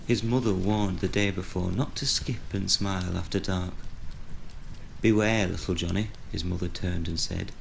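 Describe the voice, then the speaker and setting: A man playing an older, retired writer of horror stories in an audio drama, speaking in a measured, wry and brooding way. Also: low voice, steady tone, creaky, a little hiss or hum, poor audio. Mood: ominous recital. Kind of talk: audio drama dialogue and storytelling.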